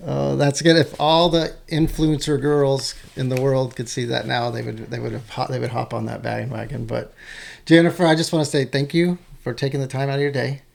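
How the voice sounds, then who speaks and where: A man hosting an interview podcast, speaking in a halting way, often restarting and repeating words. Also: mid-range voice, steady tone, creaky, darkish tone. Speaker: podcast host. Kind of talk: conversational interview.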